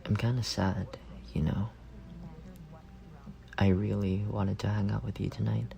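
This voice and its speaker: A man playing an affectionate, teasing young roommate secretly in love, in an intimate ASMR roleplay with a soft, creaky voice. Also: fairly low pitch, level tone, a little slow, lo-fi sound. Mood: tender, wistful. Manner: playful teasing murmur with soft chuckles.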